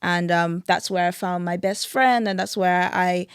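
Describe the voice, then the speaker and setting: A woman's voice: on the low side, fairly even intonation, unhurried, smooth, no room sound, studio quality. A young woman from Dominica, chatting easily as an interview guest and laughing now and then. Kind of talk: casual interview chat.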